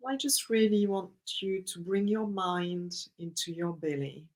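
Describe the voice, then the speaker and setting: Breathwork facilitator, mid-pitched, very slow and soothing, leading a client's guided session. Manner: slow, gentle, soothing instructions.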